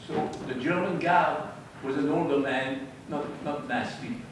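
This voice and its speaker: An older man with a Belgian accent, Dutch and French in his vowels, addresses an audience with animated, wry storytelling. His voice sits a little high. He is picked up from a distance in a very echoey room, with audible background noise. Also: a little slow. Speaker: eyewitness speaker.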